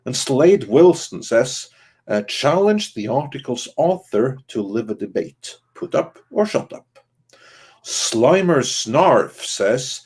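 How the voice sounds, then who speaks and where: A man's voice: mid-range voice, a little mellow, breathy edge. A man hosting a podcast livestream and reading out viewer comments. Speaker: podcast host.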